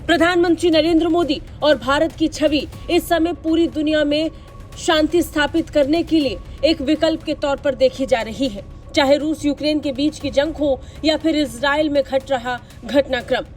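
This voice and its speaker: A woman's voice: high-pitched, fairly even intonation, normal speed, darkish tone. A woman reading a scripted news report as a voice-over in a formal, dramatic delivery. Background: music under the voice.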